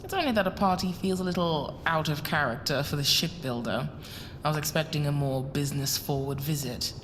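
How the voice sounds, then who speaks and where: A low-pitched, guarded rich woman acted by a woman in an audio drama, running shady ventures with polished condescension. Her voice rings in a long reverb over clear rumbling noise. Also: fairly quick, lightly acted. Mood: polite, reserved. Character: wary, wealthy woman running secret smuggling ventures. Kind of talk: scripted audio drama acting.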